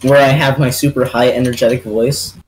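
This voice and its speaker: A man's voice: medium pitch, fairly even intonation, fairly quick, a crowd in the background, rough recording. A young man co-hosting a podcast, joking, teasing and telling stories in casual chat. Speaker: podcast co-host.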